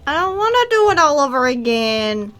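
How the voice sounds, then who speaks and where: Bright, high-pitched woman gamer talking casually. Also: very animated, moderate pace. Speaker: gaming video host. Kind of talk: casual gameplay commentary, reacting as she plays.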